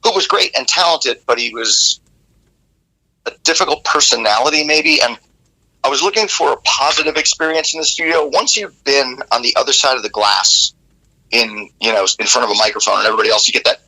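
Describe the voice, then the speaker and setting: Gravelly male rock vocalist guest, bright cutting tone, candid and animated storyteller. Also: medium pitch, brisk, poor audio. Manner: candid, animated, casually profane. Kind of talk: casual interview storytelling.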